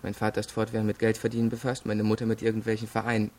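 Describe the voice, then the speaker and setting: An actor playing an arrogant, evasive rich young male student under questioning in a radio crime drama, cool and disdainful, with a rough voice. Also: fairly low pitch, completely flat delivery, rapid-fire, a little mellow, close to an everyday voice. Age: young adult.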